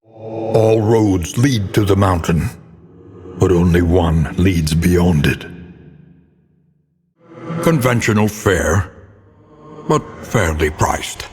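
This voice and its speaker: An actor playing a grim, solemn narrator of a doomed gothic world in a video game, speaking in a theatrical, ominous, measured way. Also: male voice, sits low, a little mellow, soft background music. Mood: grim, foreboding. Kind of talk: dramatic scripted game narration. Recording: long cavernous reverb.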